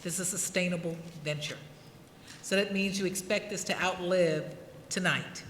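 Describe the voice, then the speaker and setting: A woman judging a competition, giving encouraging feedback and asking deliberate, wordy questions. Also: fairly low pitch, steady tone, fairly bright tone. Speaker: competition judge. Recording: echoey hall, faint noise.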